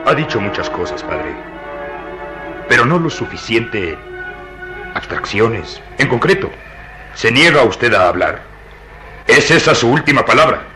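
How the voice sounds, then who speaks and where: A man's voice: mid-range voice, sing-song, unhurried, pauses often, music in the background. A man playing an insistent, commanding police inspector in a radio drama, with a pressing, theatrical delivery. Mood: stern. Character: insistent, forceful male police inspector interrogating. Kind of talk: Latin American radio drama acting.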